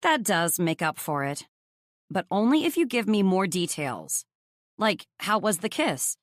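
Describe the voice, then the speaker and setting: A woman's voice: mid-range voice, sing-song, unhurried, no room sound, clean studio sound. A woman voicing a blunt, nosy young female best friend in an audiobook, gushing and teasing in exclamations. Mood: playful, prying. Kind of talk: audiobook character dialogue.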